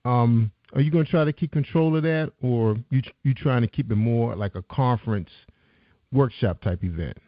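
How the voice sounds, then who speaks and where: A man's voice: mid-range voice, normal speed, dry recording. A man hosting an interview, chatty and loose, asking rambling questions with many restarts. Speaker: interview host. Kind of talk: casual interview talk. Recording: dull lo-fi dry sound.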